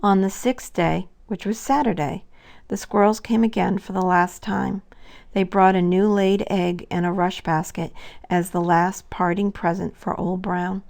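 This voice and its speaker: A woman's voice: mid-range voice, steady tone, a little slow, creaky, darkish tone. A woman narrating a scripted children's story as a storybook reader.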